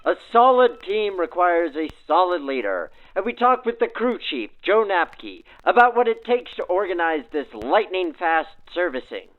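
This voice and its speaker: A man's voice: high voice, big pitch swings, normal speed, hardly any pauses, phone-quality sound, faint music behind. A man working as host and interviewer, presenting in the manner of a 1940s radio reporter. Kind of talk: scripted narration and field interviews backdated to 1940s radio. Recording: band-limited 1940s radio-style sound.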